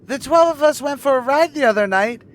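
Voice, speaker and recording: An actor playing an eager, goofy teenage boy student with a squeaky adolescent voice in a 1950s radio sitcom. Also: expressive, fairly quick. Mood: eager, sheepish.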